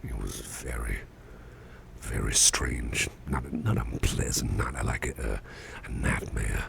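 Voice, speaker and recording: An actor playing a sickly, gruff but genial boss in an ASMR roleplay, musing slowly and haltingly in a half-whisper close to the microphone. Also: male voice, background noise, heavily acted. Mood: puzzled, musing. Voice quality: very deep, gravelly, breathy half-whisper. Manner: slow, halting, folksy musing with pauses.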